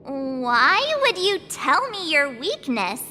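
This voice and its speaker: Very high, bright perky girl. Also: big pitch swings, takes it slowly, big-room sound, a little hiss or hum, heavily acted. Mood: curious. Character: perky, curious young girl sword apprentice. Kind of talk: video game voice acting.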